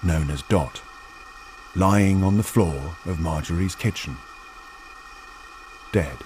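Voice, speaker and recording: An actor playing an omniscient, brooding storyteller narrating a radio drama in a deliberate, portentous manner. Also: male voice, low voice, heavy background noise. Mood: ominous. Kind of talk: scripted first-person radio drama narration.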